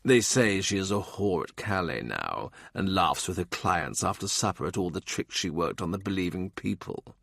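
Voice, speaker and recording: A man's voice: low voice, animated, moderate pace, vocal fry, hardly any pauses, fairly bright tone, no room sound, clean studio sound, pitched below the performer's own voice, exaggerated voice. A man voicing a stern, gloomy, devout middle-aged former statesman with a curt, dour manner in an audiobook. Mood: gloomy relish.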